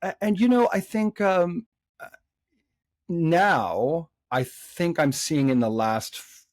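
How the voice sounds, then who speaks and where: A man's voice: a little high, slow, dry recording. A man who coaches singers, speaking as a podcast guest in a thoughtful, conversational way, with frequent fillers and restarts.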